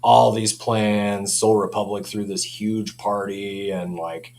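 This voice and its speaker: A man's voice: fairly low pitch, flat delivery, takes it slowly, darkish tone. A man chatting casually as a company representative explaining audio products, easygoing and colloquial, often saying "you know". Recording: clear background noise with hum.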